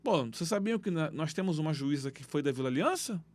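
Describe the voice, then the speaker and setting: A man who coaches youth football, speaking as a podcast guest and telling stories in animated street slang. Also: on the high side, fast talker. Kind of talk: casual interview talk. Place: podcast studio.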